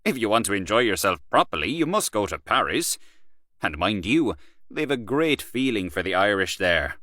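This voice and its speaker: A man voicing a boastful, worldly Irish male journalist who talks loud and swaggering. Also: fairly low pitch, sing-song, normal speed, no echo, studio quality.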